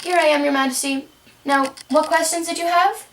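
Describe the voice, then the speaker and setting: A woman's voice: high-pitched, steady tone, moderate pace, a bit bright, slight background noise, voice pushed up. A woman voicing a polite, curious young girl with an earnest manner in an amateur puppet show. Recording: roomy, distant microphone.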